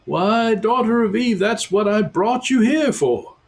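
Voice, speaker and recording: A man reading aloud and voicing an excitable, earnest male beaver who talks emphatically. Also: sits high, measured pace, vocal fry, voice pushed up, heavily acted. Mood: eager.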